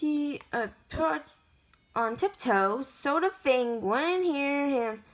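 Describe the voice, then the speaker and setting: A woman reading a children's picture book aloud in a halting way, stumbling over words as she goes. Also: high voice, animated. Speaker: amateur story reader.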